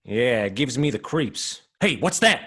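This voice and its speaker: An actor playing an eager, jumpy, plain-spoken policeman, a none-too-bright sidekick in an old-time radio drama. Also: medium pitch, sing-song, unhurried, breathy edge, exaggerated voice. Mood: nervous.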